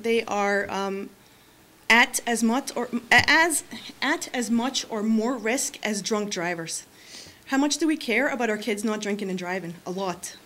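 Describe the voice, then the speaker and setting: A woman's voice: a little high, fairly bright tone. A woman in her forties giving public comment at a school board meeting from a podium microphone in a large meeting room, earnest and full of restarts. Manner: earnest, many fillers and restarts.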